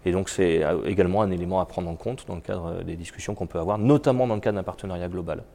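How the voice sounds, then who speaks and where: Cast a low gravelly man with a head cold, an executive giving halting interview answers. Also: warm tone. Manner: halting, many repeated words and fillers. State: has a cold. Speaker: biotech company executive.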